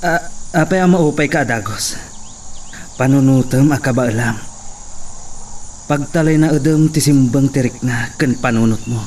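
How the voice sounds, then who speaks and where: An actor playing a young gentleman who calmly teaches a woman to shoot a gun. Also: medium pitch, normal speed, long pauses, far from the mic, a little hiss or hum, lightly acted. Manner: calm, instructive.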